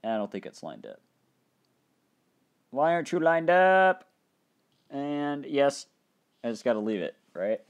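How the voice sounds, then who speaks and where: A young man hosting a casual game commentary, thinking aloud and breaking into loud excited outbursts, his mouth dry and his voice getting tired. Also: mid-range voice, measured pace, long pauses. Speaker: gaming channel host. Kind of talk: casual let's play commentary.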